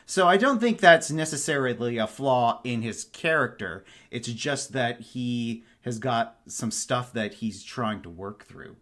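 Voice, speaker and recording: A man in a casual book discussion, explaining his thoughts in a measured way as a podcast panelist. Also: mid-range voice, lively intonation, fairly bright tone.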